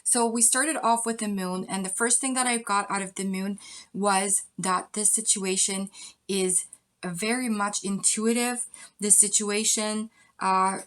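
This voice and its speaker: A woman giving a pick-a-card tarot reading, speaking straight to the viewer in a coaching tone with frequent ums. She is losing her voice. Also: medium pitch. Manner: warm direct address with many ums, coaching tone.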